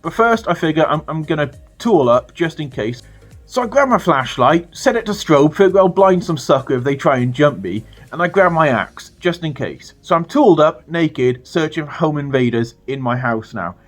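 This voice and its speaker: A British man telling a funny story to his viewers in a casual, animated way, swearing freely. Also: fairly high pitch, moderate pace, faint music behind. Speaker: storytelling vlogger.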